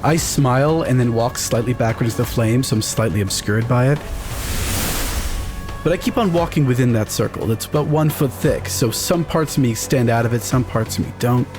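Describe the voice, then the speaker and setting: A man describing his character's actions in a tabletop game in a deliberate, theatrical way. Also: medium pitch. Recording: music bed under the voice.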